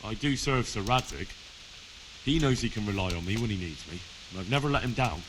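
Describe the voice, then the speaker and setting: Actor as an earnest young medieval retainer. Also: male voice, lowish voice, lively intonation, a little fast, background noise, close to an everyday voice. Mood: earnest, willing. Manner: polite, inquisitive. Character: earnest, loyal young medieval servant of a lord. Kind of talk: cinematic game dialogue.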